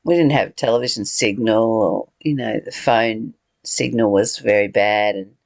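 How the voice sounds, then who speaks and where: A woman's voice: a bass voice, normal speed, creaky, a little mellow, no room sound. A woman leading a reflective spiritual discussion, earnest and given to rhetorical questions and repeated phrases. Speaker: study group leader.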